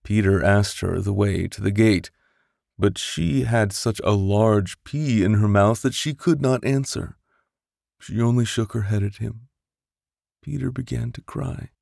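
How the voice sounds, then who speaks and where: A man reads a bedtime storybook in a low voice with a gravelly edge. He takes it very slowly and plays up the drama as an expressive narrator. The recording is dry and close, made on a studio condenser mic. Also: no room sound. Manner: theatrical, with whispers and sound-effect voices. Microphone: close studio condenser microphone.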